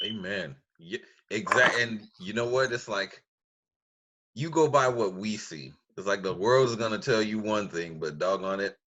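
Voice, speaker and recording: This American man hosts a casual chat with a bright, punchy voice. There is a rough, husky grain to it. He keeps an affirming, encouraging manner as he talks. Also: on the low side, normal speed, lo-fi sound. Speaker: podcast host.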